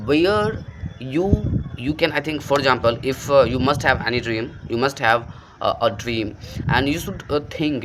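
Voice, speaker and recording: A man's voice: medium pitch, a bit bright, slight background noise. A young man with an Indian accent, giving earnest, rambling motivational advice to viewers about learning English and calling them bro. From rural India. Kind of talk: motivational talk to camera.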